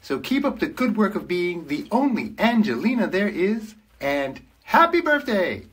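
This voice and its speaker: An actor voicing a big, friendly, gentle bear from a children's show, playful and affectionate, speaking in an exaggerated sing-song way to a child. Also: medium pitch, big pitch swings, measured pace, husky. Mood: warm, encouraging. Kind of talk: children's show character giving a personal birthday greeting.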